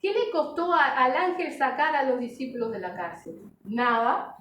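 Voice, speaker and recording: High-voiced woman preaching in an echoey room. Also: moderate pace, fairly bright tone. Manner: earnest exhorting with rhetorical questions and short check-in tags. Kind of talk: sermon to a congregation. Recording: echoey room, distant mic, background noise.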